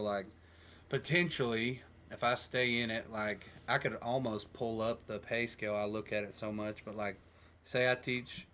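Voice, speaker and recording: A man in his twenties, a teacher and coach, chatting casually on a podcast and working through numbers out loud. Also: fairly low pitch, a little hiss or hum. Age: 20s. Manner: relaxed, rambling, works through numbers aloud.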